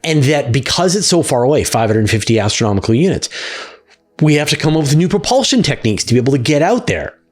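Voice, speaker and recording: A man's voice: on the high side, big pitch swings, fast, a little mellow. A middle-aged man hosting a question show, answering in a conversational, explanatory way and leaning on key words for emphasis. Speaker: host of a space science question show.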